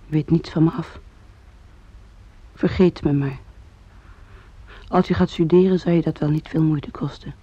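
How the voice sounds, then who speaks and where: Hushed, very dark, sorrowful young woman. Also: sits low, steady tone, a little slow, pauses often, slightly rough, no room sound, dull audio. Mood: sad, resolute. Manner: hushed, subdued. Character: resigned, sorrowful young woman reading her farewell letter. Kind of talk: Dutch radio play acting.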